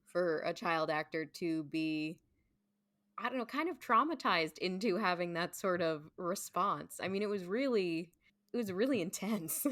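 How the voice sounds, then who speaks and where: A woman's voice: lowish voice, expressive, unhurried, a bit bright, dry recording, studio quality. A woman speaking as a podcast guest in a casual, informal conversational way, often saying "like". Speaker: podcast guest, stage performer. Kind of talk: casual chat.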